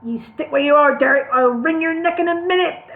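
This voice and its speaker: A woman voicing a loud, angry, fat male innkeeper who bellows and snarls at everyone. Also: sits high, brisk, pitched above the performer's own voice. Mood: furious. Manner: shouting, snarling, vehement. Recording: tinny phone-like sound.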